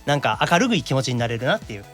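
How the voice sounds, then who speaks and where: A man with a Kansai Japanese accent hosting a podcast in lively casual chat, quick to react and banter. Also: medium pitch, soft background music. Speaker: podcast host and voice actor.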